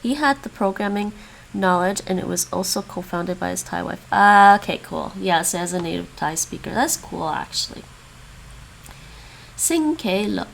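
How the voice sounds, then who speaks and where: A woman's voice: fairly low pitch, lively intonation, normal speed, creaky, slight background noise, poor audio. A woman who grew up with English and Chinese, chatting casually and thinking aloud as she practices languages on a stream. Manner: thinks aloud, repeats foreign phrases. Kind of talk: casual chat while studying languages.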